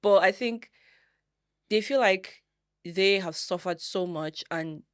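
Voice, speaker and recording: A woman's voice: medium pitch, slow, dry recording, studio quality. A young adult woman from Nigeria with a Nigerian accent, hosting a casual podcast chat and telling stories animatedly with laughs. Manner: animated, laughs often, tells stories with rising excitement. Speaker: podcast host.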